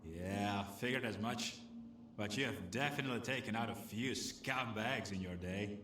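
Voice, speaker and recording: A man's voice: on the low side, moderate pace, close to an everyday voice. Casting: male actor as slangy, friendly wasteland scavenger for a game; hesitant, casual delivery, roomy sound with faint noise. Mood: wry, friendly. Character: chatty scavenger stalker man asking a stranger for help. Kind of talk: video game dialogue acting.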